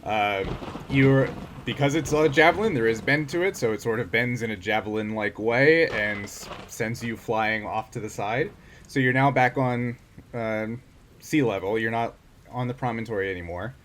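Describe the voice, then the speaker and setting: Guy running a tabletop rpg, average pitch, improvising as he goes, some room noise. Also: normal speed, fairly bright tone. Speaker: game master. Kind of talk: casual narration of a tabletop role-playing game.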